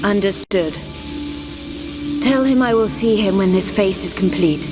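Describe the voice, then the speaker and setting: A solemn female machine-maker in a video game played by a woman, devoted to her mistress. She speaks slowly and formally at a middle pitch. Music is clearly heard behind her. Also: close to an everyday voice. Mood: cool. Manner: formal, deliberate. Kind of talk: video game cutscene acting.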